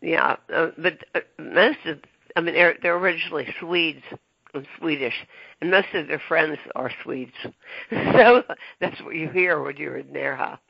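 Male podcast host, husky and raspy-edged, stop-start delivery, recorded dull and dry. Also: on the high side, no room sound. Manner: halting, full of ums and restarts. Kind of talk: casual interview chat.

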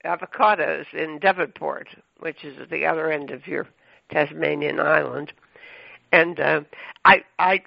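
A man hosts a chatty radio interview, his voice gravelly and pitched a little high. He rambles effusively, looping through fillers and restarts. The sound is dry and dull, like a lo-fi broadcast. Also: dry recording. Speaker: radio show host.

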